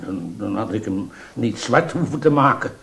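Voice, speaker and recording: An actor playing an embittered, hot-tempered rebel in a radio drama, volatile and emphatic. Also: male voice, fairly low pitch, animated, normal speed, gravelly. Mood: resentful. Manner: volatile, emphatic, swinging from shouts to mutters. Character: embittered rebel man, locked-up accuser, hot-tempered, easily bought. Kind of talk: Dutch radio drama acting.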